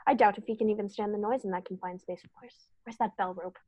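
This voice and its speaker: A woman's voice: mid-range voice, quick pace, dark tone, close to an everyday voice. A woman playing a stern, authoritative male detective in an old-style radio play, speaking formally. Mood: grave. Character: stern, commanding male detective, calm and righteous.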